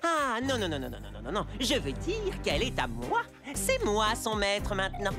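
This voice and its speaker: A woman's voice: mid-range voice, wildly swooping pitch, normal speed. A woman voicing a bratty, scheming boy villain in a French cartoon dub, haughty and melodramatic in delivery. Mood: gloating, possessive. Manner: pompous, melodramatic declamation. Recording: loud music behind the voice.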